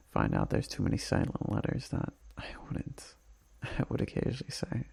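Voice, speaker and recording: A man playing a homesick, tender boyfriend in an intimate ASMR roleplay voicemail, speaking softly and breathily with halting pauses and sighs. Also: fairly low pitch, vocal fry, no room sound, exaggerated voice.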